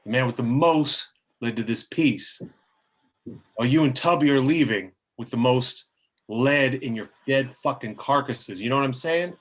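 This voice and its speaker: A man's voice: medium pitch, moderate pace, natural voice. A man playing a threatening, profane gang boss in an amateur screenplay table read. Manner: threatening, swaggering. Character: menacing, profane male strip-club gang leader.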